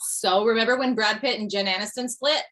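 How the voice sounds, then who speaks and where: A woman's voice: fairly high pitch, a little fast, a bit bright, natural voice. A woman playing a self-deprecating mother returning to stand-up, delivering wry and hesitant lines in a table read. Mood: flustered. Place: video call from home.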